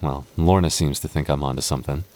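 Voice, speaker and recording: A low-pitched young goth boyfriend, acted by a man in an intimate audio roleplay. He speaks earnestly and haltingly, stammering as he goes. He is protective and playful, and gets flustered easily. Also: steady tone, mellow. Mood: playful, teasing.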